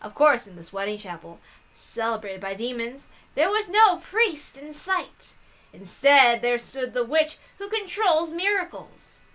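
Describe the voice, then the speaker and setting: A woman reading a visual novel aloud in an expressive way, with casual asides as the host. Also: high voice, a little slow.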